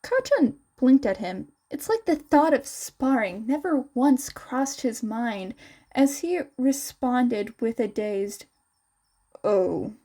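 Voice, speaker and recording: A woman's voice: high voice, animated, takes it slowly, mellow. A woman reading scripted fan fiction as a narrator. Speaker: podfic narrator and reader.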